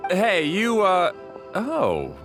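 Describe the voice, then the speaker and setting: A man's voice: high-pitched, wildly swooping pitch, very slow, full character voice. An actor voicing a laid-back, slangy male track caretaker in a video game. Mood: casual, surprised. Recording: loud game music behind. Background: game music.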